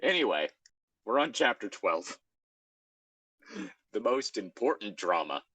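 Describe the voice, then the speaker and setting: A host reads fan fiction aloud and comments on it in a sardonic, exasperated way. The pitch is mid-range and swings widely. The sound is dry and close. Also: very animated, measured pace, bright, forceful tone, no echo. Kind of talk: casual comedic reading of fan fiction with commentary.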